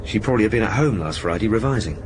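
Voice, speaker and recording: An actor playing a deferential British police sergeant, a loyal sidekick with a polite, gently dry manner in a radio drama. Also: male voice, fairly low pitch, lively intonation, rapid-fire, noisy background, natural voice. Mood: tentative, polite.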